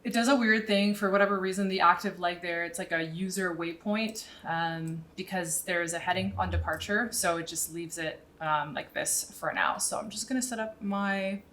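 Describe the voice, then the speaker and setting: A woman's voice: on the low side, fairly even intonation, normal speed, few pauses, a bit bright, a little hiss or hum. A woman in her thirties giving a casual tutorial as a pilot and video host, thinking aloud as she works, close to a microphone in a small room. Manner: informal, thinking aloud while working. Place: small indoor room at home. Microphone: boom-arm microphone close up, headset worn.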